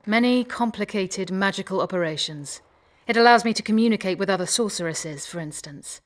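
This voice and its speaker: A woman's voice: mid-range voice, brisk, lightly acted. A woman playing a haughty, self-assured sorceress in a video game, with an imperious, clipped delivery. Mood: cool, aloof.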